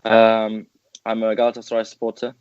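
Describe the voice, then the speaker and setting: A man from England co-hosting a casual football podcast, relaxed and easygoing. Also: on the low side, a little fast, clean tone, no echo. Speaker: podcast co-host. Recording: dry, dull lo-fi audio.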